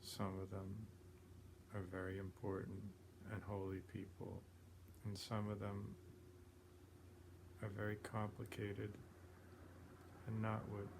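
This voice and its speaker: Male meditation guide, deep-pitched and very slow, plain-spoken, pausing often between phrases. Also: monotone. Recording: clearly noisy background ambience.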